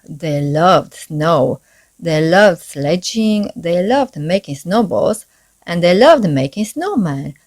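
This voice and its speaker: A woman reads a children's storybook aloud at a slow, measured pace. Her voice sits a little low, and her pitch swings widely as she shapes each phrase expressively. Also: darkish tone, breathy edge.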